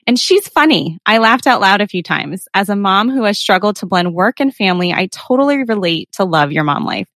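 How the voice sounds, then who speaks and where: A woman's voice: medium pitch, expressive, brisk, hardly any pauses, fairly bright tone, dry recording, clean studio sound. A woman hosting a podcast, reading a scripted intro and then chatting with a guest in a soothing, friendly, upbeat way. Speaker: podcast host.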